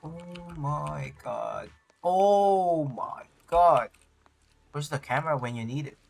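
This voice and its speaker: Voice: mid-range voice, expressive, takes it slowly, creaky, soft background music. A game streamer reacting casually to a game, talking in crude, slangy language with swearing. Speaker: livestreamer.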